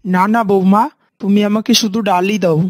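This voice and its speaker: A woman's voice: medium pitch, fairly even intonation, moderate pace, darkish tone, dry recording, natural voice. A woman voicing an elderly village mother-in-law in a cartoon, plain-spoken and self-effacing. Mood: placating, gentle. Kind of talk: cartoon character dialogue. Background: faint music.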